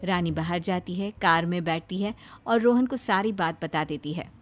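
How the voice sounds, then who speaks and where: A woman's voice: lowish voice, normal speed, faint music behind. A woman narrator reading a scripted family moral story for a cartoon video.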